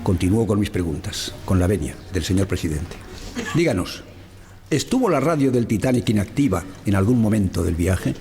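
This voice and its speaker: A man's voice: fairly low pitch, big pitch swings, moderate pace, mellow, faint music behind. A man playing a stern, formal questioner at a senate inquiry in an audio drama. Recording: echoing hall with long reverb.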